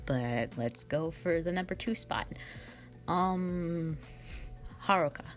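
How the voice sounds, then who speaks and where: Woman, low register, informal and playful Q&A host, rambling and self-deprecating, soft music underneath. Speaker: ASMR and audio roleplay voice creator. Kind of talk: casual Q&A, reading and answering fan questions. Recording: faint music behind the voice. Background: faint background music.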